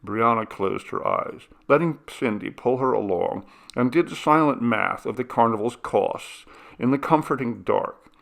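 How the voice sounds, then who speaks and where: A man reading short fiction aloud as an audiobook narrator, in an expressive storytelling manner with a gravelly voice. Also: mid-range voice, unhurried. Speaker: audiobook reader.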